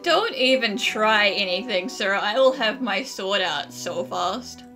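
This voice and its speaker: Bright, animated woman gaming streamer. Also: mid-range voice, slow pace, soft background music. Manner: playful, joking. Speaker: game streamer. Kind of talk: casual gameplay commentary.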